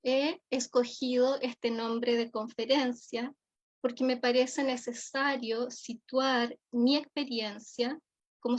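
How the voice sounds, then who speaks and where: Chilean woman, academic keynote speaker. Fairly high voice, measured and deliberate, somewhat muffled lo-fi recording. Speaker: forensic psychologist giving a keynote lecture. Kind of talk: formal conference lecture. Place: remote online conference. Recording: dull, lo-fi audio.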